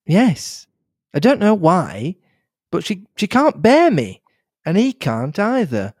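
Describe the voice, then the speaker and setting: A man's voice: high voice, huge pitch swings, takes it slowly, slightly rough, dry recording, studio quality, pitched above the performer's own voice, heavily acted. A man voicing a shy, earnest young woman in a storybook reading, with a girlish, hesitant delivery. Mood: hurt.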